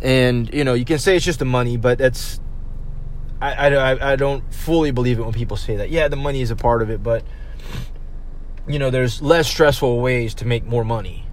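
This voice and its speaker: A man's voice: medium pitch, moderate pace, slight background noise. A man in his thirties, a restaurant server, talking casually to his viewers in a rambling, profane way with lots of fillers. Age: 30s. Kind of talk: casual talk to camera.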